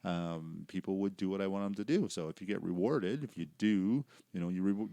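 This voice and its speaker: A male podcast host with a loud voice, chatting eagerly and sharing his own stories with his guest. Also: low-pitched, fairly quick, no room sound, clean studio sound. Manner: loud, chatty, eager, affirms often with "yeah". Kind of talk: casual interview chat.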